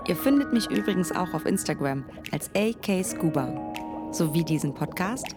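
A woman's voice: fairly low pitch, animated, moderate pace. A woman host delivering a scripted podcast introduction and welcoming listeners. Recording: loud music and water sounds behind.

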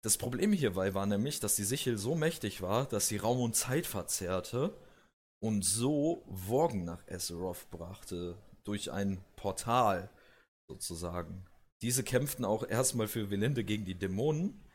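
A man's voice: on the low side, a little slow, darkish tone, a little hiss or hum. A man with a cold, casually explaining video game lore as host, with many hesitations and restarts. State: has a cold.

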